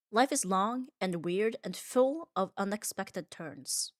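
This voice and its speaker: Female, mid pitch, calm and reassuring ASMR delivery, unhurried, very dry close sound. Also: no room sound. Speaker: ASMR audio creator. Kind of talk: calm ASMR comfort monologue.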